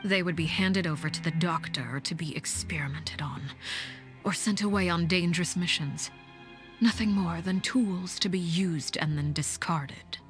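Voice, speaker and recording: A low-voiced, menacing woman leader from a video game, played by an actress. She speaks formally and with measured command, often dropping into a breathy hush. Her temper is cold and composed, and soft music plays behind her. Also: close to an everyday voice. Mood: grave, detached. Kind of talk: scripted video game voice acting.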